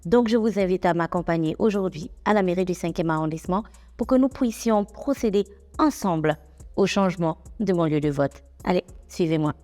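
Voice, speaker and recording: A young adult woman, presenting civic information in a clear, measured, explanatory way. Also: fairly low pitch, mellow, in a large hall, faint music behind. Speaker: radio host and reporter.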